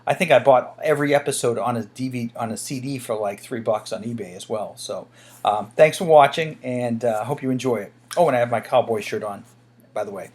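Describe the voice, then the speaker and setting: A man's voice: medium pitch, brisk, a little mellow. A man talks casually to his viewers as a channel host. He sounds like an enthusiastic fan, rambling along in an informal, conversational way. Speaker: host of a channel on radio dramas.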